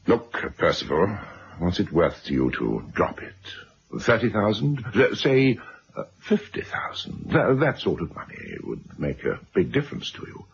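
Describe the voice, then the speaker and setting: A middle-aged man acting a blustering, evasive press magnate in a radio drama, hesitant and wheedling, with a gravelly voice. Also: fairly low pitch, sing-song, measured pace, full character voice. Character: blustering, evasive wealthy press magnate, cornered by his past. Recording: dull, noisy old radio recording.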